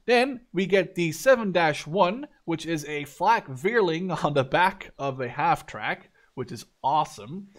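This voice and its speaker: A man's voice: fairly high pitch, animated, a little slow, few pauses. A man in his twenties giving casual, enthusiastic game commentary, informal and swearing freely. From Canada or Netherlands, Dutch. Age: 20s. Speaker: gaming video creator.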